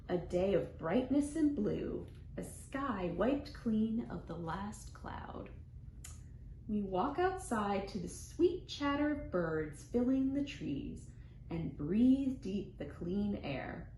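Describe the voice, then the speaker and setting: Casting: female children's storytime reader, unhurried and sing-song, playful with sound words, recorded in an echoey room. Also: mid-range voice, dark tone. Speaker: children's librarian, storytime host. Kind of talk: expressive picture-book read-aloud for young children, with rhymes. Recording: roomy echo, faint background noise.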